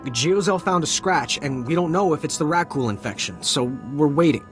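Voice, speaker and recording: Male actor as weary deserter soldier, slightly high voice, stammering, nervous, desperate, video game drama, prominent music underneath. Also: expressive, moderate pace, natural voice. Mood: nervous, evasive.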